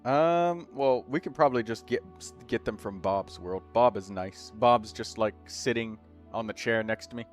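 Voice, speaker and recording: Excitable man chatting on a gaming stream. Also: mid-range voice, normal speed, faint music behind. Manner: excitable, swears, bursts into loud shouts. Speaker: streamer host. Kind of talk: casual gaming chat with friends.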